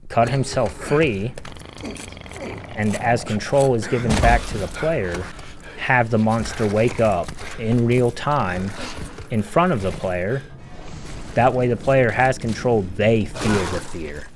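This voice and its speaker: A man's voice: mid-range voice, slow, background noise. A man giving opinionated, analytical commentary as a video game critic, talking in an emphatic, conversational way.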